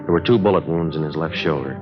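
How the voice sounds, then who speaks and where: An actor playing a terse, businesslike police sergeant who talks and narrates in a clipped, deadpan way in a vintage radio drama. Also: male voice, rumbling low, quick pace. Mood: grim, factual. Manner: deadpan, matter-of-fact, clipped. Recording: dull old radio recording with music.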